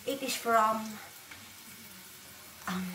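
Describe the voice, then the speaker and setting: A woman's voice: fairly high pitch, drawn-out words, slight background noise. A woman from the Philippines with a Filipino accent, a native Waray speaker, rambling bluntly and swearing freely while cooking in a casual home vlog. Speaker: vlogger. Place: home kitchen. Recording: echoey room from far off, loud sizzling.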